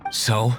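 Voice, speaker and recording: An actor playing an aloof, brooding young gentleman in a video game, speaking in a terse, formal, dryly sardonic way. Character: aloof, brooding young gentleman winery owner and secret vigilante.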